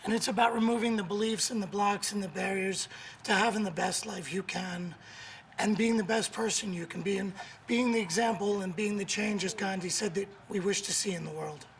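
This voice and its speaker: Hoarse man, high-pitched voice, gravelly, emphatic motivational coach, studio. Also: fairly even intonation, a little fast, a little hiss or hum, low-quality recording. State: hoarse from laryngitis. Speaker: author and coach, guest. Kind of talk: motivational talk on a morning show.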